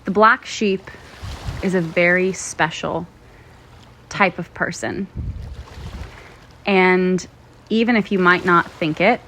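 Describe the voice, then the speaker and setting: A woman leads a guided meditation in a fairly low voice. Her delivery is very slow and gentle, with frequent pauses between short phrases. Clear ambient noise sits behind her.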